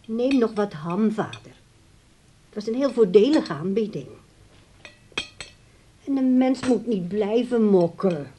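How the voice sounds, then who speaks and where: A woman's voice: mid-range voice, expressive, takes it slowly, long pauses, mellow, slight background noise. An actress playing a nagging, self-sacrificing daughter who manages her old father in a radio drama, coaxing and insistent. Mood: reproachful. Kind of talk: naturalistic radio drama acting.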